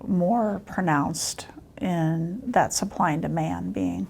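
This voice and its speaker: A woman's voice: on the low side, takes it slowly, gravelly, darkish tone, slightly rough. A woman giving unscripted interview answers as a local housing official.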